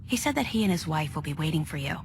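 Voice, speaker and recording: A sassy noir secretary from a video game, with a lowish voice. She is brisk and wry, heard in a long reverb with faint noise. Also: lively intonation. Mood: businesslike. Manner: brisk, sassy, matter-of-fact. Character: wry, teasing female detective agency secretary.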